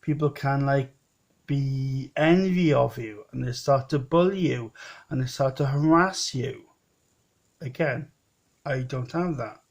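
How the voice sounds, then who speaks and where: Slow rambling man in his thirties. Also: medium pitch, gravelly. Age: 30s. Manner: rambling, repeats himself and restarts, plain-spoken. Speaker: vlogger. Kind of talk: personal vlog monologue.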